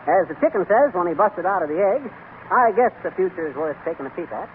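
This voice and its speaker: An actor playing a cocky, wisecracking husband with a breezy, slangy American manner of speech in a 1930s radio comedy. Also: male voice, high voice, animated, fast talker. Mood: cocky, joking. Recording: tinny, noisy old radio recording.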